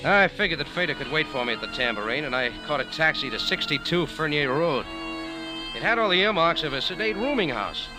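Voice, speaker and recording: An actor playing a cool, wry American café owner and sleuth, laconic and deadpan in 1940s radio drama style. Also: male voice, a little high, brisk, lightly acted. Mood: cool, narrating. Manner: laconic, hard-boiled, deadpan. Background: music.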